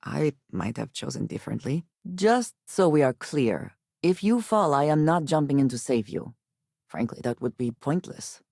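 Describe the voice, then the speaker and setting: An actress playing a guarded, wary woman companion in a space video game, with formal, measured phrasing and a dry manner. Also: deep voice, big pitch swings, creaky, darkish tone, no room sound, full character voice.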